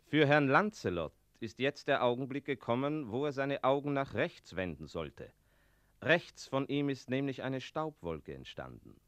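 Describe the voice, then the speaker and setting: An actor playing a wry storyteller who narrates a radio play in an explanatory way. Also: male voice, mid-range voice, no room sound.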